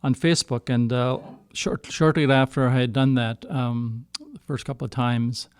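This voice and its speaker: A middle-aged man from Canada speaks in a smooth, clear voice, captured close and dry. He tells his story casually, in a halting way, doubling back to reword himself as he goes. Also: mid-range voice, fairly even intonation, no room sound. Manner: halting, circling back to rephrase. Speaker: singer-songwriter guest. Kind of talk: casual storytelling in a podcast interview.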